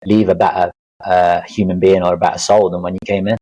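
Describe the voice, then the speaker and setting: A man in his thirties speaks in a low, deep register. He talks through his experiences in an earnest, reflective way, with plenty of fillers and restarts. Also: flat delivery, brisk, mellow, muffled recording. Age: 30s. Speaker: podcast guest, life coach. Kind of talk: casual interview chat.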